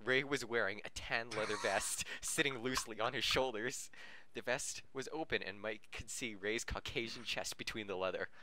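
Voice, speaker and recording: A man's voice: mid-range voice, normal speed, few pauses, bright, forceful tone, slight background noise. A man reading fan fiction aloud on a podcast, stumbling over words and correcting himself, often amused and breaking into laughter. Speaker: podcast reader.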